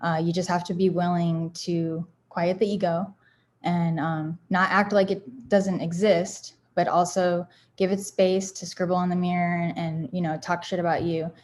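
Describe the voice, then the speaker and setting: Low-voiced woman giving candid pep talk. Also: little pitch movement, normal speed, darkish tone. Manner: candid and rambling, with many fillers and restarts. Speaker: founder sharing self-help affirmations. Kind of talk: casual motivational talk.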